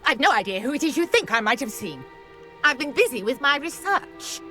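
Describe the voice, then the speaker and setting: A woman's voice: high voice, sing-song, a little fast, sharp tone, faint music behind, exaggerated voice. An actress playing a flustered, defiant witch researcher in a video game. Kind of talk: video game character acting.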